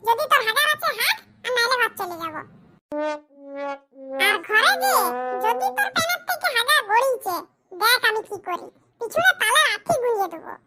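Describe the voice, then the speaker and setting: A performer voicing a nagging, quarrelsome cartoon villager with a squeaky, pitch-shifted voice and a rural West Bengal accent. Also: big pitch swings, normal speed, long pauses, pushed and bright, faint music behind, heavily acted. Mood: nagging, threatening. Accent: rural western West Bengal. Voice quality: squeaky, artificially high-pitched. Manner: rustic, quarrelsome, blunt. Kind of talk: comic cartoon dialogue.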